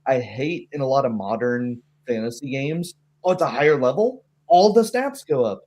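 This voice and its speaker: A man with a mid-pitched voice chats casually as a game master. He speaks haltingly and is prone to chuckling as he talks through the game. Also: animated, darkish tone. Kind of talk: casual chat about a tabletop game.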